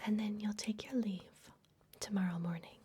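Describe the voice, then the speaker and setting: A woman whispering gently and unhurriedly in a breathy voice, playing a kindly vampire librarian in an ASMR fantasy roleplay. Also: medium pitch, steady tone, moderate pace, slight background noise. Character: kindly vampire librarian hosting a human guest. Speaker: ASMR performer.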